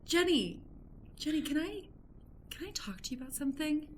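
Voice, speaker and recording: A woman playing a nosy, earnest, awkward journalist in an improvised comedic radio play, halting and polite with frequent stammers. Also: high voice, big pitch swings, slight background noise. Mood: confiding.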